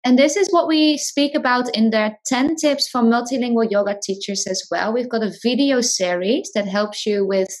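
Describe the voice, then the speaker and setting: A woman's voice: on the high side, normal speed, hardly any pauses, rough recording. A woman hosting a casual interview, encouraging and asking guiding questions. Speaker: host.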